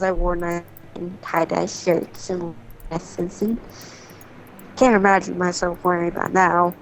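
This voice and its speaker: A woman's voice: lowish voice, rough recording. A young woman chatting casually and telling rambling stories, with a giggly manner. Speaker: video co-host. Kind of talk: casual storytelling chat over a game.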